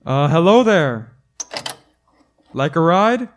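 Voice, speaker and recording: Haunted driver played by a man, radio suspense drama: stammering, urgent, on the high side for a male, slightly noisy recording. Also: sing-song, takes it slowly. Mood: awkward, probing. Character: haunted, increasingly frantic male cross-country driver. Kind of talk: old-time radio drama acting with first-person narration.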